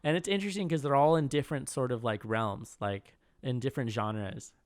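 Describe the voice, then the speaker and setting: Smooth-voiced chatty man in his twenties. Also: medium pitch, a little fast, no room sound, studio quality. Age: 20s. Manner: rambling, heavy on "like", animated retellings. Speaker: podcast co-host. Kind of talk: casual chat.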